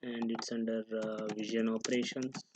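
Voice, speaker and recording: A man giving an unscripted, step-by-step software tutorial, halting and pausing mid-sentence as he goes. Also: fairly low pitch, little pitch movement, noisy background.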